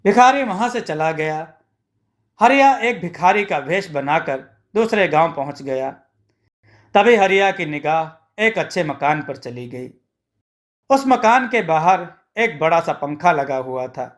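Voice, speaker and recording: High-pitched male storyteller. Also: expressive, a little slow. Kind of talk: scripted moral-story narration.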